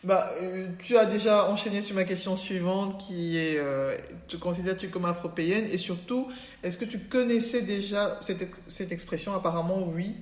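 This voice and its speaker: Enthusiastic podcast host, low and echoey. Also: female voice, moderate pace. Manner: enthusiastic, warm reactions and fillers. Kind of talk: podcast interview hosting.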